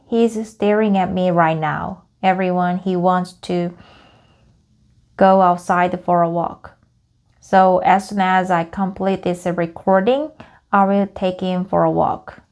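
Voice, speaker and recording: Slow, gravelly woman reading aloud. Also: lowish voice, a little mellow. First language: Korean. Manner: halting, repeats phrases and self-corrects. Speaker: English learner. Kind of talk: reading a script aloud for practice.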